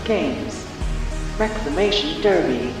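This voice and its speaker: A woman voicing a commanding race announcer program in a game, slow and declamatory over a reverberating stadium PA. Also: low-pitched, lively intonation, distant mic. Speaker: arena announcer. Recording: long echoing hall, loud music behind.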